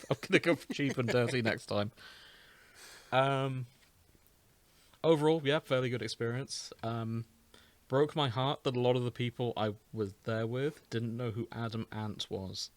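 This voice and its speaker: A man's voice: medium pitch, moderate pace, dry recording. A British man hosting a podcast, chatting casually in a wry, self-deprecating way. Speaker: podcast host. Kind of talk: casual chat.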